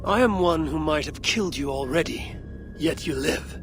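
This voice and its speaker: Stoic, guarded Viking raider. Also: male voice, a little high. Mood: calm, firm. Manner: measured, terse, guarded. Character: stoic, blunt Viking raider from the Raven clan. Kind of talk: video game cutscene drama. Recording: loud music behind.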